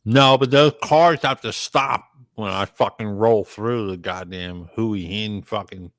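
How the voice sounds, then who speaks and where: A man's voice: lowish voice, a little slow, no room sound, studio quality. A drunk man in casual, profane banter, repeating himself and using a lot of slang. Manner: repetitive, heavy swearing, slangy.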